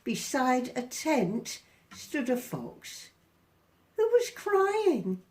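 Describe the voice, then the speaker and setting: A woman reads a children's story aloud with plenty of expression. Her voice is fairly high and animated, and she takes her time over each phrase at a very slow pace. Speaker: volunteer story reader.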